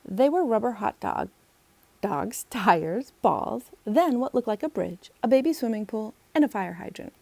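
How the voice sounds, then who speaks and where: A woman's voice: on the high side, expressive, a little slow, vocal fry, dark tone, no echo. A woman, the author, reading her children's book aloud as a storybook narrator.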